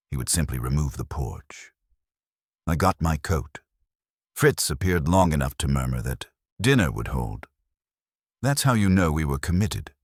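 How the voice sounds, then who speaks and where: A man reading a first-person detective story as an audiobook narrator, in a wry, measured storytelling manner. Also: extremely low pitch, husky, dry recording, clean studio sound.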